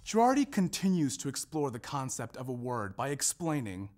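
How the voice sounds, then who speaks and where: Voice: mid-range voice, big pitch swings, moderate pace, darkish tone, faint music behind. A performer narrating a scripted readers theater piece, presenting quotations and linking ideas. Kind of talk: scripted narration, staged reading of a research presentation.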